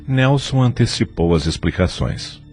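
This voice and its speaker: A man's voice: fairly low pitch, animated, a little slow, a little mellow, soft background music. A man narrating an audio novela in a dramatic scripted storytelling style.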